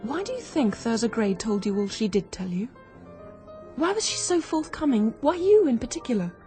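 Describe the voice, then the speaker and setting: High-voiced keen young woman sleuth. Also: very animated, moderate pace, dark tone, soft background music. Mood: excited, intent. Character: keen, quick-witted young woman turned amateur sleuth. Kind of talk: radio drama acting.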